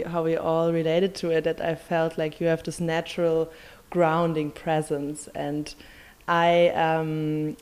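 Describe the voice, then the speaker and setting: A woman's voice: deep voice, a little mellow. A woman co-hosting a podcast, chatting casually and bubbly, with many fillers and frequent laughter. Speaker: podcast co-host.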